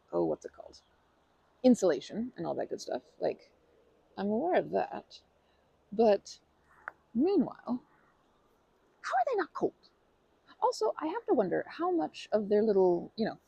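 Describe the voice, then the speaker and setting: A woman doing an ASMR roleplay as a polite stranger, talking softly with hesitant, rambling chatter and many restarts, sometimes dropping to a whisper. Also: mid-range voice, creaky, breathy edge, dry recording, rough recording. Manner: soft-spoken, drops to whispers.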